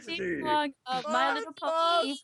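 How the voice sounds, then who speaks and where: A woman's voice: sits high, unhurried, raised voice, a loud crowd. A woman hosting a casual group chat over an online call, bossily steering everyone along. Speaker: podcast host.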